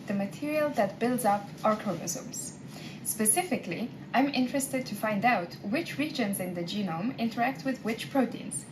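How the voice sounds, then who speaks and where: Female scientist presenting work, slightly high voice, light background noise. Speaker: researcher. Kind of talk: scripted explanation.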